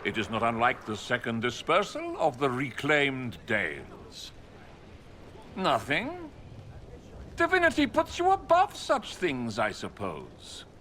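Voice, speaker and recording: An actor playing a pompous, condescending noble envoy in a video game, speaking theatrically. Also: male voice, mid-range voice, wildly swooping pitch, slow, sharp tone, slight background noise, exaggerated voice. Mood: grand. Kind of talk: video game dialogue acting.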